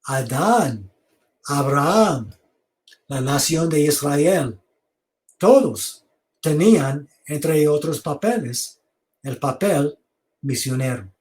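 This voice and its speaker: A man giving an online theology lecture over a video call, speaking slowly, repeating words and inviting questions. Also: a little high, animated, a little mellow. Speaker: seminary lecturer.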